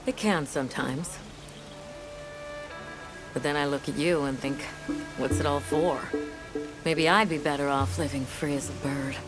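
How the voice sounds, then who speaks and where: An actress voicing a reflective, restless woman in a game cutscene, musing and gently self-deprecating. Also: sits low, measured pace, pauses often, low-quality recording. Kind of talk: video game cutscene acting. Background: game score music.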